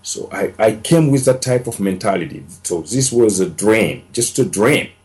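A man's voice: lowish voice, lively intonation, normal speed, darkish tone. A man, telling his story as a podcast guest in an earnest way, often repeating himself. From Senegal. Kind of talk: casual storytelling interview.

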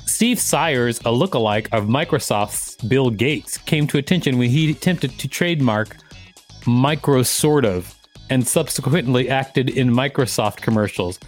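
A man's voice: medium pitch, unhurried, faint music behind. A man co-hosting a podcast, reading articles aloud and breaking into casual banter. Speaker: podcast co-host.

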